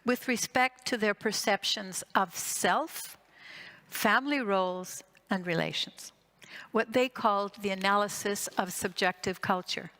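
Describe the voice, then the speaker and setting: A woman in her sixties from Greece and Canada gives a prepared conference talk as a psychotherapist, phrasing deliberately with emphatic repetition. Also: medium pitch, sharp tone. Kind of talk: prepared conference lecture with personal story.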